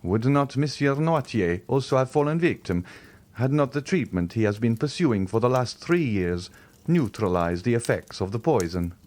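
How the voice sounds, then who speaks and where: A man voicing a grave, protective middle-aged nobleman who gives solemn, measured warnings. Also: medium pitch, expressive, a little mellow, pitched above the performer's own voice, lightly acted.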